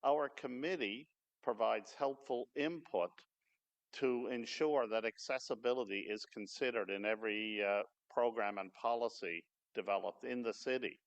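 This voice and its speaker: A man speaking for a volunteer advisory committee, giving a formal speech at a podium in a large hall with a loud voice and frequent fillers. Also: medium pitch, normal speed. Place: large city hall event space. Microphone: podium microphone.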